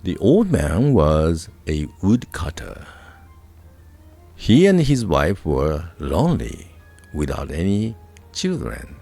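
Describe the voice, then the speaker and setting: A man narrating a scripted folk tale with a deliberate storytelling delivery. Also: low-pitched, huge pitch swings, creaky, mellow, soft background music.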